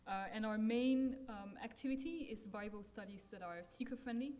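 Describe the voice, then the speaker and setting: A young woman from France presenting her campus ministry work with slides, soft-spoken and pausing to search for words. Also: fairly high pitch.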